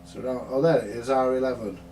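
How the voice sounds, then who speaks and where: A man giving halting, unscripted commentary at a workbench, muttering to himself as he works things out. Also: medium pitch, steady tone, warm tone. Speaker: vintage radio repair hobbyist. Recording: distant mic with background hiss.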